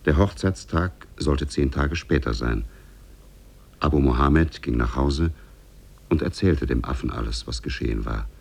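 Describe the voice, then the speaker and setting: A man narrating a fairy tale in a measured, formal way for 1950s German radio. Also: extremely low pitch, gravelly, a little mellow, slightly rough.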